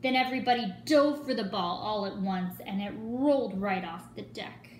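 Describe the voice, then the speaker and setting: A woman giving an expressive dramatic reading of a children's picture book, dropping into hushed asides. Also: medium pitch, moderate pace. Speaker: storyteller. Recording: echoey room with faint noise.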